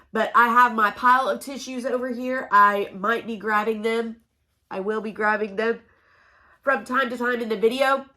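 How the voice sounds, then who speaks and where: A woman's voice: medium pitch, sharp tone. A chatty woman hosts a home unboxing vlog, sounding congested from allergies and talking in an upbeat, folksy way. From Oklahoma, USA. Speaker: direct-sales consultant and channel host. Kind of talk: casual product unboxing vlog. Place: home room with a noisy air conditioner.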